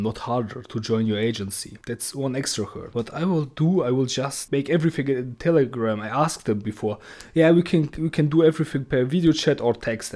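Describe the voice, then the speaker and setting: A man's voice: medium pitch, darkish tone. A man talking bluntly in non-native English, often restarting his sentences and swearing casually. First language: not English; English is his third language. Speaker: agency owner giving advice. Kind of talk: casual talking-head monologue.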